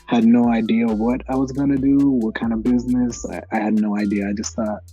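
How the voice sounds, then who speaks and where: A man's voice: mid-range voice, little pitch movement, fairly quick, mellow, slightly rough, highs cut off, faint music behind. A man in his twenties, an actor from Massachusetts, answering interview questions casually with many fillers and restarts. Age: 20s. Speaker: actor guest.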